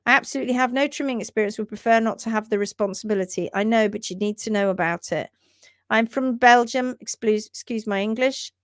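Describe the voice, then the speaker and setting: A chatty woman hosts a live hoof-care stream with a fairly high voice, words tumbling out fast and doubled back on themselves. She laughs easily between points. The sound is close and dry with no room echo. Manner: rapid-fire, repeats words, chatty; laughs often. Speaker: livestream host, hoof care teacher. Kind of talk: casual live-stream teaching and reading viewer posts. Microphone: livestream setup.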